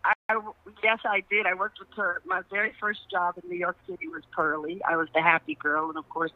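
A woman's voice: fairly low pitch, expressive, a little fast, no echo. A woman speaking earnestly and emphatically as an actress guest in a conversational interview. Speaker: stage actress, panel guest. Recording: muffled phone-like radio line.

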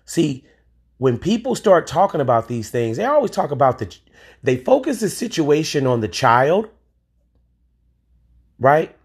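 A man's voice: fairly high pitch, very animated, measured pace, pauses often, breathy edge. A man in his forties hosting a solo podcast, talking in an emphatic, opinionated way with occasional swearing. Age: 40s. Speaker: podcast host.

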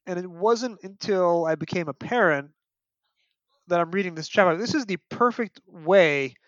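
A middle-aged man chatting about books as a podcast guest, telling things in an opinionated, animated storytelling way. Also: high-pitched, moderate pace, dry recording. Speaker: podcast guest, fan and parent. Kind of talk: casual book discussion. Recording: dull, dry lo-fi audio.

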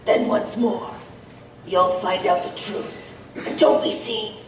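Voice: medium pitch, fairly even intonation, slow, recorded from a distance. An actor playing an anxious, furtive male informant who speaks in clipped, secretive phrases. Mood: furtive, urgent. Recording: echoey, off-mic, ambient noise behind.

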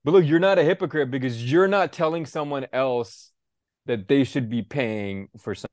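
A man's voice: mid-range voice, moderate pace, a little mellow, dry recording. Man, young adult, casual chat, blunt profane rants, dry close mic. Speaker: podcast co-host.

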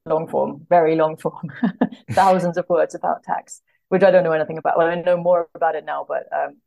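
A woman's voice: low voice, steady tone, fairly quick, gravelly, muffled recording. A woman chatting as an interview guest about books, thoughtful and tentative, often correcting herself as she goes. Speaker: podcast guest, literary translator.

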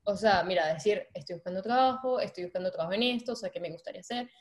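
A woman from Venezuela hosting a casual interview, asking questions and telling stories in an animated, friendly way. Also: mid-range voice, rapid-fire. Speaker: interview host.

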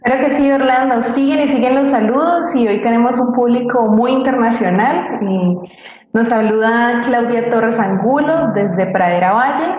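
A woman's voice: a little high, normal speed, darkish tone. A woman co-hosting, reading out greetings and messages from the audience. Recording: very echoey, noisy booth audio.

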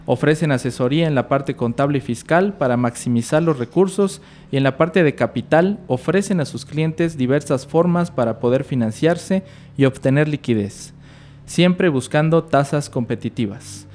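A man's voice: fairly high pitch, moderate pace, a little mellow. Man reading a formal guest introduction. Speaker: podcast host.

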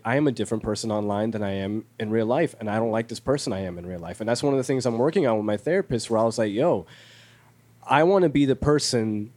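A man's voice: medium pitch, a little mellow. A young man in a podcast conversation, speaking openly and reflectively about his own struggles. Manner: open, self-reflective, candid. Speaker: podcast guest. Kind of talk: personal reflection in casual chat.

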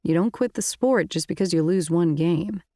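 A woman voicing a calm, firm teenage boy who leads his friends, in a storybook reading. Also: lowish voice, moderate pace, dry recording, studio quality, natural voice. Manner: firm, steady.

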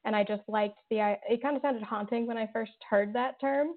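A woman's voice: on the high side, steady tone, brisk. A woman, a horror writer and interview guest, answering thoughtfully with lots of fillers and restarts. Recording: tinny phone-like line.

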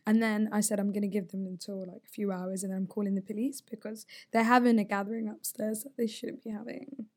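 A young woman chatting casually, giggling and animated, tired from not sleeping. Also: mid-range voice, fast talker. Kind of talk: casual chat.

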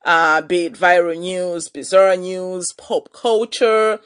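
A woman, hosting a casual solo podcast as a chatty, animated storyteller. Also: fairly low pitch, slow, smooth. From Malawi. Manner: chatty, animated storyteller who laughs often. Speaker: podcast host.

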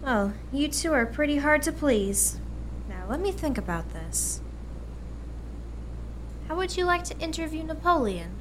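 A woman playing a brisk, patient American mother in a radio play, gently coaxing in a motherly way. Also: high-pitched, sing-song, background noise.

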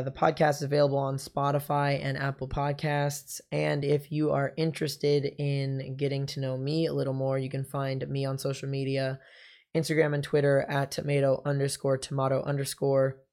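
Slightly hoarse young American man, even pitch. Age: young adult. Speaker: podcast and college radio host. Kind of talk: casual show presenting and interviewing.

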